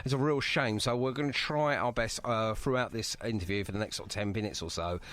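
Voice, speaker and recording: A man's voice: fairly low pitch, fairly quick, fairly bright tone. A man hosting a casual music interview podcast, chatty and self-deprecating in manner. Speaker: podcast host interviewing a band.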